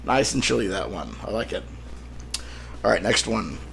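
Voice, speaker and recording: Rough-voiced, creaky man hosting a podcast. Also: on the low side, lively intonation, moderate pace, fairly bright tone, a little hiss or hum. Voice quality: slightly rough, throat-clearing. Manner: wry, self-deprecating joking. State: sick, rough throat. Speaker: podcast host and author. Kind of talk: casual solo podcast monologue.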